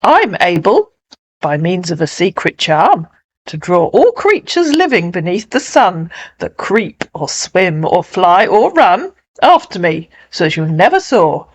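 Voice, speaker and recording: A woman with an English accent voicing a mysterious male piper in a storybook poem, courteous, measured and boastful. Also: fairly low pitch, sing-song, poor audio. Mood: courteous, proud. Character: tall, thin, mysterious male piper with secret charm.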